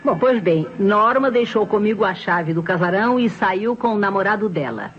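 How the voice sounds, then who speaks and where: A Brazilian actress playing a talkative, self-assured neighbour in a radio drama, explaining herself in a proper, insistent way. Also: female voice, medium pitch, expressive, normal speed, natural voice. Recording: dull old radio recording, music behind.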